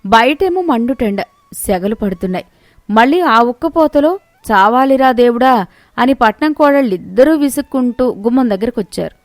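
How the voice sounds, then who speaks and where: A woman narrating a scripted moral story in an expressive, measured way. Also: on the high side, dry recording.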